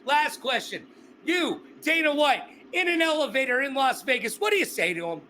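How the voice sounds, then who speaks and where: A man's voice: very high-pitched, very animated, normal speed, bright, forceful tone, slight background noise. A man hosting an interview, casual, joking and chatty. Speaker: interview host. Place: Las Vegas.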